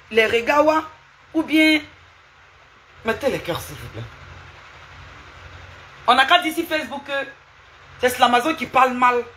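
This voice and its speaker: A woman's voice: a little high, sharp tone, slight background noise, low-quality recording. A woman live streaming, lecturing and scolding someone with repeated rhetorical questions and asking viewers for hearts.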